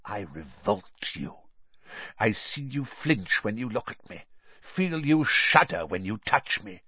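Sickly menacing dark lord, read by a man: hissing, breathy, tight and dry. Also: lowish voice, big pitch swings, measured pace, dry recording, highs cut off, voice pushed up, exaggerated voice. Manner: hissing whisper.

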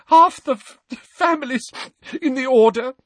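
A man's voice: very high voice, unhurried, dry recording, voice pushed up. A man voicing a distraught, tearful middle-aged mother who sobs and stammers as she speaks. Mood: sobbing, stammering. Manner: sobbing, stammering speech. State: crying. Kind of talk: audiobook character voice.

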